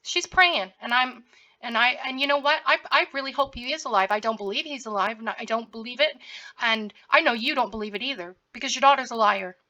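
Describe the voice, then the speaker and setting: A woman's voice: on the high side, brisk, sharp tone, muffled recording. A woman with a sore, scratchy throat, speaking straight at someone in a confrontational, repetitive way as a commentary host. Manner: confrontational, addressing someone directly, repeats herself. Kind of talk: direct-to-camera commentary.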